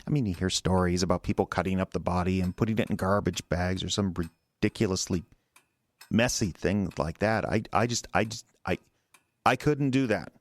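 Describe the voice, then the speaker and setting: A gravelly, stammering husband confessing murder. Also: male voice, low voice, dry recording. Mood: brooding. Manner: halting, stammering, rambling. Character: agitated, defensive husband confessing to killing his wife. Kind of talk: audio drama monologue.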